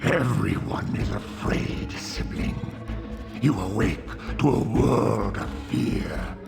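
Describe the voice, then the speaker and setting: A man voicing a decayed, monstrous vampire in a video game, weary, bitter and menacing, with a gravelly, hissing croak and drawn-out delivery. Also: fairly low pitch, over loud music, full character voice. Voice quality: gravelly, croaking, breathy hiss. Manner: slow, drawn-out, theatrical menace. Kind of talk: video game cutscene acting.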